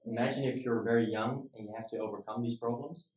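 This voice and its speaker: A man's voice: mid-range voice, fairly even intonation, fast, a little mellow. A man, a startup founder, talking casually in an interview, rambling and often checking in with "right?". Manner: rambling, frequent "right?" tag questions. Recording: roomy echo.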